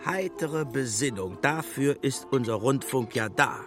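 An actor playing a measured, patronising radio official of the Nazi era in a radio play. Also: male voice, mid-range voice, animated, lightly acted. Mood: smooth, condescending. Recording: music under the voice.

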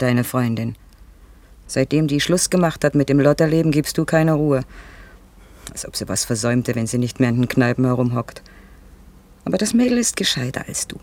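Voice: medium pitch, darkish tone, natural voice. An actor playing a blunt, scolding parent of a grown daughter in a German radio play, with colloquial, reproachful delivery.

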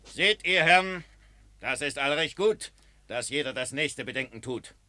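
A man's voice: on the high side, expressive, measured pace, bright, forceful tone, dry recording, full character voice. An actor playing a shrewd veteran soldier who lectures his comrades in rhyming verse in a radio play. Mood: lecturing. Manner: lecturing, persuasive.

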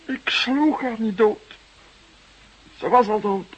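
An actor playing a desperate, defensive young murder suspect of about twenty, nervy and halting under interrogation in a crime drama. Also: male voice, sits high, lo-fi sound, full character voice. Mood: pleading, distraught. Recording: clearly hissy background noise.

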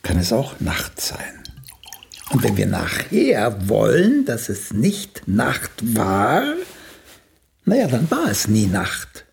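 An aging playwright in a radio drama, once celebrated and now doubting himself. His voice is gravelly and creaky, rising and falling widely. He takes his time, slyly flirting and musing, and sometimes drops to a murmur. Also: lowish voice, huge pitch swings, takes it slowly, heavily acted. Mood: teasing, seductive. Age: older. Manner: sly, musing, theatrical, with murmured asides. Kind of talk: radio play acting.

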